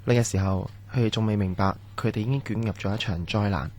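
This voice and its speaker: A man's voice: on the low side, fast, over loud music, close to an everyday voice. A man acting as the grave narrator of an eerie Cantonese radio drama, reading a scripted tale. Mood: grave, ominous. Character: grave male narrator of ghost tales.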